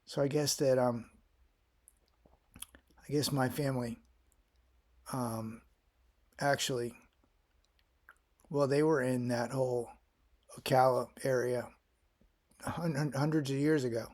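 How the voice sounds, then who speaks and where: A man's voice: medium pitch, fairly even intonation, takes it slowly, pauses often, a little mellow. Rambling middle-aged man from Florida. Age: 50s. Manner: rambling, with frequent restarts and fillers. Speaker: vlogger. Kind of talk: casual personal vlog.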